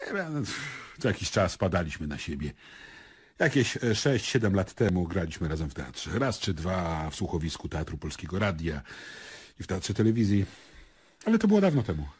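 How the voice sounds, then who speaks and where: An actor playing a middle-aged male theatre performer who answers questions haltingly in a naturalistic radio play, with a husky voice. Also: deep voice, sing-song, heavily acted. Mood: reminiscing. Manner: hesitant, halting recollection.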